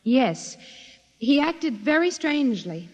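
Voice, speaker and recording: An excitable secretary on the witness stand in a 1950s radio drama. Her high voice rings in a long reverb. The old recording is dull. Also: takes it slowly, full character voice. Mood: agitated, earnest. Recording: echoing hall, dull noisy old radio.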